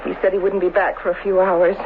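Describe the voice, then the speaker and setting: Actress as frightened suspicious wife, radio drama, pleading delivery, thin phone-like sound, noisy old recording. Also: female voice, fairly low pitch, flat delivery, quick pace, close to an everyday voice. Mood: fearful, pleading. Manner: anxious, pleading.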